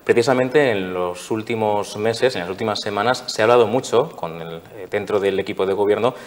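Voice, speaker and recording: Low-voiced male interviewer in reverberant room. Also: fairly quick. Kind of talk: TV interview questions.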